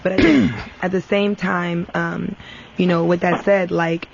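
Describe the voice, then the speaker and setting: A woman's voice: on the low side, level tone, measured pace, creaky. A woman guest in an earnest debate, explaining her view hesitantly but insistently. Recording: dull, slightly noisy radio recording.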